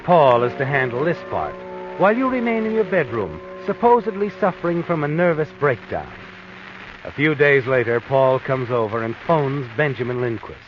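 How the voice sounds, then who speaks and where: An actor playing an omniscient, sinister narrator of a 1940s radio mystery, insinuating and knowing, with dramatic pauses. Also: male voice, mid-range voice, sing-song, music in the background, natural voice. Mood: sly, ominous. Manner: insinuating second-person taunting, dramatic pauses. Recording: muffled vintage radio recording.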